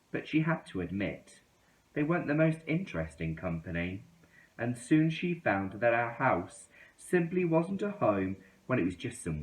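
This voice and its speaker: Male children's storyteller, unhurried and highly melodic, expressive read-aloud delivery. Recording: roomy, echoing indoor sound.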